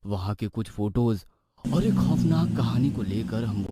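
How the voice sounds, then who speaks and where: A man's voice: lowish voice, expressive, unhurried, mellow. A man giving dramatic scripted narration as the storyteller of a horror cartoon.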